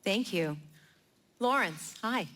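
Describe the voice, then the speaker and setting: A woman's voice: mid-range voice, expressive, slow pace, fairly bright tone. A woman hosting a panel at a live event in a theatre. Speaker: event host. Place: theatre stage with audience. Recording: live event, audience noise, clicks.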